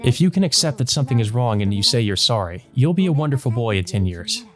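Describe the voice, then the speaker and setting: A man's voice: medium pitch, big pitch swings, fast, natural voice. A man voicing a kind, wise female teacher from a visual novel, earnest, instructive and encouraging. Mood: gentle, earnest.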